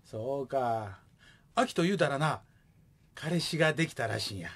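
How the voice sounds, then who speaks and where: A middle-aged man with a Kansai accent playing a gruff, joking widowed father in a comic radio drama. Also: mid-range voice, lively intonation, normal speed, fairly bright tone. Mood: exasperated.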